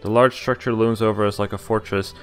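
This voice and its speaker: A man's voice: fairly low pitch, steady tone, brisk, soft background music. A young man streaming a visual novel, reading the narration aloud and cutting in with wry, exasperated asides. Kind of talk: casual reading with commentary.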